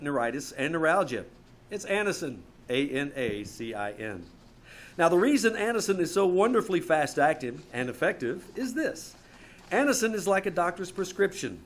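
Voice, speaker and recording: A man acting an earnest 1950s radio announcer and pitchman, delivering scripted copy. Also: big pitch swings, brisk. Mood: earnest selling.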